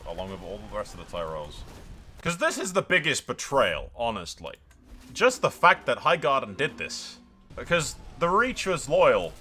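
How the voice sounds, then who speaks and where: A man giving casual, excited gameplay commentary as a streamer, with sweary, theatrical banter. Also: fairly low pitch. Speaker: game streamer narrating a strategy role-play. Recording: music behind the voice. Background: music.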